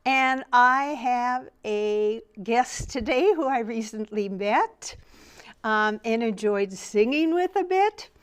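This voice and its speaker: A woman hosting an arts interview, speaking in a warm, effusive way. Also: on the high side, animated, slow, smooth. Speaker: arts reporter and host.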